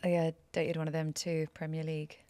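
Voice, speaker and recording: Woman, podcast guest. Low, chatty storyteller, dry close recording. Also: fairly quick, dry recording. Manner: chatty, laughing, animated storytelling. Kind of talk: casual interview chat.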